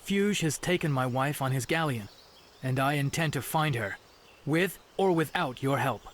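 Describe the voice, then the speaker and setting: A man's voice: mid-range voice, expressive, normal speed, close to an everyday voice. A man playing a bold, impetuous young hero in a video game, with a direct, challenging delivery. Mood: defiant. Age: young adult. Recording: clear insect and environmental noise behind.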